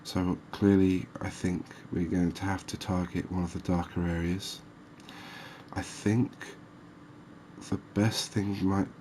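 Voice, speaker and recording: A soft-spoken man giving ASMR gameplay commentary, thinking aloud with pauses. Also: low voice, slight background noise. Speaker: gaming video host.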